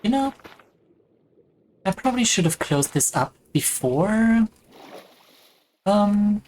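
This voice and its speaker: A woman's voice: medium pitch, expressive, takes it slowly, darkish tone, a little hiss or hum. A woman from Germany with a German accent, chatting casually and rambling with self-mocking humor while she streams a game. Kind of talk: casual gaming chat.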